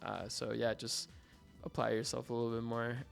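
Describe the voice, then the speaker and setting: A young man with a Mexican accent in casual interview talk, relaxed and rambling with many fillers and restarts. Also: mid-range voice, moderate pace, creaky, soft background music. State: hungover. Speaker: graduating art student guest.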